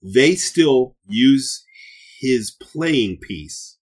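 A man's voice: medium pitch, expressive, very slow, breathy edge. A man co-hosting a podcast in casual chat, slangy and enthusiastic. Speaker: podcast co-host. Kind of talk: casual chat, riffing on movie ideas.

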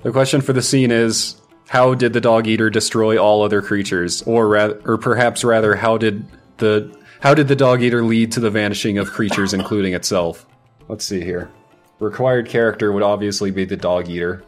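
A man playing a tabletop game who delivers his ideas in a deadpan, matter-of-fact way. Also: lowish voice, normal speed, soft background music. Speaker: player. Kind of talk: casual tabletop game chat.

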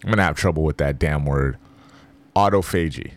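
Male host, very low voice, dry close sound, joking and loose. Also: fairly quick, no echo. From Philippines. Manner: loose, joking, talks to the listener directly. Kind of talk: casual monologue.

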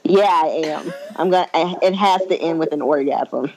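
A woman's voice: sits low, moderate pace, darkish tone, low-quality recording. A woman co-hosting a podcast in casual chat, cheerful and frank about her day. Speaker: podcast co-host.

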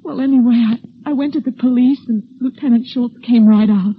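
High-voiced, distraught radio drama wife. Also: female voice, slightly rough, no echo. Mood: anxious, shaken. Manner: breathless, halting, emotional. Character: distraught, apologetic wife in a murder mystery. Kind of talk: 1940s radio drama acting. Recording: muffled, noisy 1940s radio recording.

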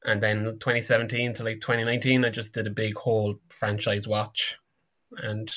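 A man's voice: lowish voice, sharp tone. A man chatting casually as a guest on a podcast. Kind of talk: casual chat.

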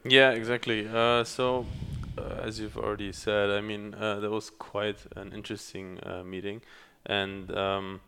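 A man from Germany hosting a podcast, speaking in a halting way with many pauses and restarts. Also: on the low side, flat delivery, vocal fry, bright, forceful tone, clean tone. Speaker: podcast host. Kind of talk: casual podcast chat.

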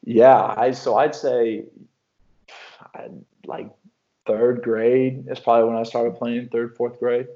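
A young man from Illinois talking casually in an interview, relaxed and rambling, often restarting his sentences. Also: mid-range voice, takes it slowly, long pauses, muffled recording. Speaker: professional basketball player.

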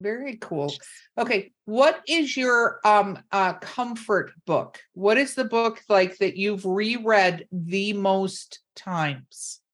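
A woman's voice: medium pitch, lively intonation, slow pace, fairly bright tone. Female panel host, mid-range voice, informal and playful, banters between questions. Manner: teasing, informal. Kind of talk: casual, bantering panel moderation.

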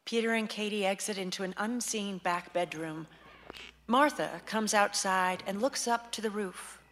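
A woman's voice: mid-range voice, normal speed, fairly bright tone, close to an everyday voice. A woman narrating stage directions as scripted narration for a radio play. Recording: hall-like reverb, faint noise.

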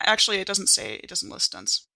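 A woman's voice: medium pitch, big pitch swings, fairly quick, gravelly, bright, forceful tone, no room sound. A woman playing a tabletop role-playing game, chatting informally and thinking aloud as she improvises.

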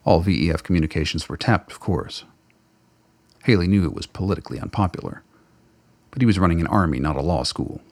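A man with a gravelly, husky voice reading scripted narration for a radio play. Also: sits low, a little fast, no echo.

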